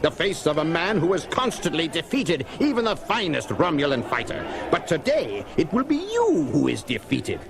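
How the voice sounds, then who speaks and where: A man's voice: fairly high pitch, huge pitch swings, moderate pace, creaky, hardly any pauses, background noise, exaggerated voice. A man playing a gloating, grandiose Romulan commander in a theatrical, declamatory seventies audio drama style. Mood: gloating, menacing. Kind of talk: 1970s audio drama acting.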